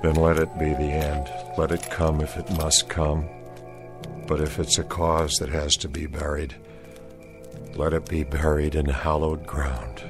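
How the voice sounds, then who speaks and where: An actor playing a troubled Confederate soldier, speaking in a grave, earnest way in an old-time radio drama. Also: male voice, rumbling low, little pitch movement, measured pace. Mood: solemn. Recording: old radio recording with music.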